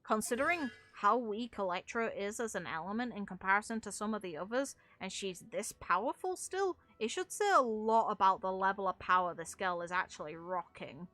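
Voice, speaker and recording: Bright-voiced woman giving blunt gaming commentary. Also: medium pitch, lively intonation, a little fast, few pauses, no room sound. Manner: blunt, informal, mild swearing. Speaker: gaming video creator and streamer. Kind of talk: opinionated casual game commentary.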